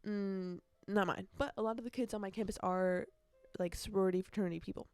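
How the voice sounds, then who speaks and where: A woman's voice: medium pitch, fairly even intonation, brisk, darkish tone, smooth, dry recording, faint music behind. A nineteen-year-old woman chatting casually on a solo podcast, rambling and correcting herself, with youthful slang and emphatic repetition. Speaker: podcast host.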